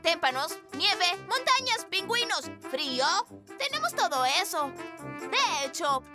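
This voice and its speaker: Cartoon bear cub inventor: very high, swooping voice, boastful and theatrical, music behind. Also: very animated, a little slow, exaggerated voice. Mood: enthusiastic. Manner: theatrical, dramatic, enthusiastic. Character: excitable young bear, boastful bossy inventor. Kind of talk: animated children's cartoon dub.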